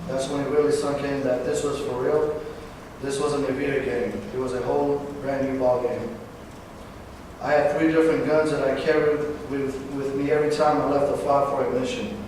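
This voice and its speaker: A man in his twenties from Northern California, a veteran giving a personal talk, halting at times with fillers and self-corrections. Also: medium pitch, level tone, darkish tone. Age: 20s. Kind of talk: personal speech read to an audience. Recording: distant, echoey hall recording with background noise.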